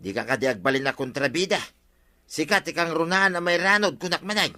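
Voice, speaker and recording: Brief: comic Ilocano husband, slightly high bright voice, scheming and wheedling, radio drama style. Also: male voice, fairly quick. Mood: argumentative, playful.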